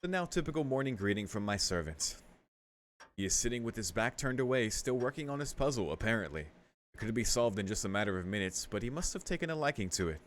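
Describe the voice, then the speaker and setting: Low-voiced man doing a casual let's play. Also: big pitch swings, fast talker, a little hiss or hum. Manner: casual, swearing, muttered asides. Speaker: let's play streamer reading a visual novel aloud. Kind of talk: casual gaming commentary mixed with read-aloud narration.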